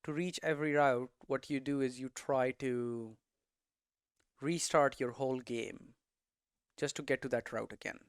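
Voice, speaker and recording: A man's voice: mid-range voice, fairly even intonation, a little slow, clean tone, no echo, studio quality. A man giving a casual, unscripted tutorial as a game developer, thinking aloud and often checking in with rhetorical questions. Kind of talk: casual, unscripted explanatory talk.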